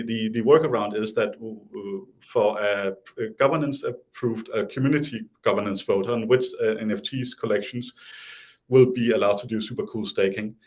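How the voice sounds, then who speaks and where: A man with a Danish accent, talking casually as an interview guest, hesitant with many restarts and fillers. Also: fairly low pitch.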